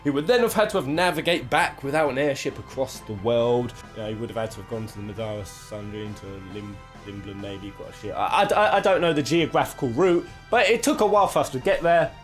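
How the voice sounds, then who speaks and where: A man's voice: medium pitch, brisk, few pauses, faint music behind. A man hosting a casual, jokey commentary, talking in playful, florid exaggeration with crude swearing. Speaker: video host.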